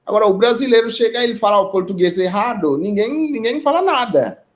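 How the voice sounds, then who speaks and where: A man with a Haitian accent talks unhurriedly over a video call, pausing often and checking in with tag questions. His voice sits fairly high, and the stream audio is dull and lo-fi. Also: lively intonation. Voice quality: measured, reflective, with frequent pauses and tag questions. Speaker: guest representing an immigrant community. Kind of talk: conversational testimony in a live online discussion.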